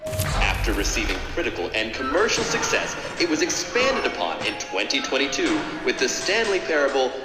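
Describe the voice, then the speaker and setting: A man's voice: medium pitch, big pitch swings, moderate pace, roomy sound, loud music behind the voice, close to an everyday voice. An actor playing an upbeat, salesy male game announcer reading promotional narration.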